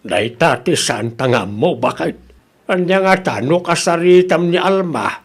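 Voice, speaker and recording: A man with an Ilocano Filipino accent playing an expressive husband and father in a rural family comedy radio drama. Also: fairly high pitch, unhurried. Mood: matter-of-fact.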